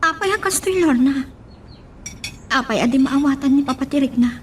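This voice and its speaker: A woman's voice: sits high, steady tone, normal speed, a little hiss or hum, lightly acted. A woman playing a lovesick, headstrong young daughter in an audio drama, emotional and defiant. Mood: troubled, resentful.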